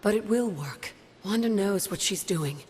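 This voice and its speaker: A woman's voice: medium pitch, expressive, moderate pace, a little mellow, a little hiss or hum, close to an everyday voice. An actress playing a proud, tender ghost of a witch in a video game, earnest and self-assured. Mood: proud, resolute.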